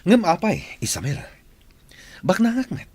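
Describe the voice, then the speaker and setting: Brooding Ilocano suitor with wildly swinging voice. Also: male voice, sits high, huge pitch swings, unhurried, darkish tone, slightly rough, no room sound, exaggerated voice. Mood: pleading, declaring love. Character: hot-tempered, jealous rich suitor and boss, adult man. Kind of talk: Ilocano radio drama acting.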